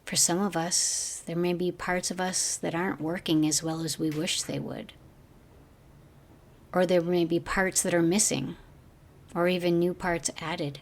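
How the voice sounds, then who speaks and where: A woman guiding a meditation as a teacher, speaking gently in slow, measured phrases that trail off softly. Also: on the low side, fairly even intonation. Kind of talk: guided meditation narration.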